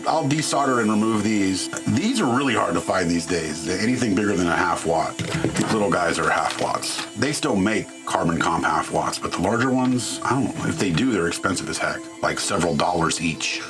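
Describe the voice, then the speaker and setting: A man's voice: deep voice, expressive, moderate pace, over music. A man narrating a hands-on electronics teardown in an informal, wry, self-deprecating and mildly crude way. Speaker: host of an electronics teardown channel. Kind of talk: casual hands-on tutorial narration.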